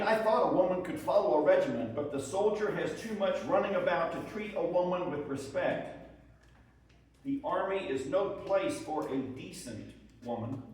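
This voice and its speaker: A man's voice: sits high, close to an everyday voice. Man acting a folksy Civil War soldier, echoey room. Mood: bitter. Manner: plain-spoken, folksy storytelling with rustic grammar. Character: weary, defiant Civil War soldier writing home to his wife. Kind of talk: dramatic reading of wartime letters. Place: live presentation in an echoey room. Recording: echoey room, distant microphone.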